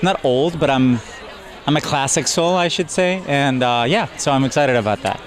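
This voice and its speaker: A man's voice: mid-range voice, normal speed, noisy background. A young man from Mexico, a singer at a gala, talking bubbly and effusive in a red-carpet interview outdoors. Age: young adult. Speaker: performer at the gala. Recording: loud crowd chatter behind.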